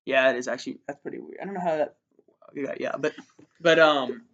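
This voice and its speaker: Young man, eighteen, loud, rambling, self-interrupting, drawl, podcast co-host. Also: medium pitch, brisk, dull audio. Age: young adult. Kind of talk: casual chat.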